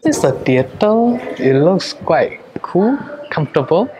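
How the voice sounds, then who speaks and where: A man's voice: high voice, sing-song, measured pace, vocal fry. A man in his thirties narrating a casual travel vlog indoors into a handheld camera. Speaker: travel vlogger. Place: indoor visitor attraction. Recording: very noisy on-location camera sound.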